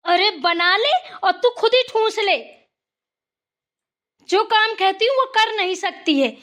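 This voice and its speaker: Shrill, nagging mother-in-law, acted by a woman. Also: expressive, takes it slowly, a bit bright, heavily acted. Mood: sarcastic anger. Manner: nagging, sarcastic, insulting. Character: scolding, short-tempered village mother-in-law Parvatiya. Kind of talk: Hindi family cartoon dialogue.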